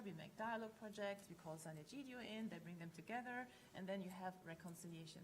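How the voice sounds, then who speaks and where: A woman's voice: mid-range voice, a little hiss or hum, low-quality recording. A woman speaking as a panelist in an academic discussion, reasoning things through analytically and checking in with short tag questions.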